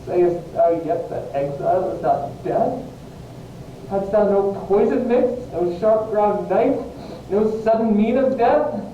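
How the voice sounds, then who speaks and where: A man's voice: on the high side, unhurried, hardly any pauses, lightly acted. A man acting an anguished, impassioned young banished lover in a Shakespearean verse reading. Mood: anguished, protesting. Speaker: amateur actor, English teacher. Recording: echoey room, muffled, distant mic, faint hiss.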